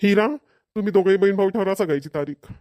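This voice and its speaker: A man's voice: high-pitched, quick pace, warm tone, no echo, studio quality, lightly acted. A man voicing a practical, insistent middle-aged father in a cartoon family comedy, with a Varhadi Marathi accent. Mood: matter-of-fact. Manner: repetitive, matter-of-fact persuading.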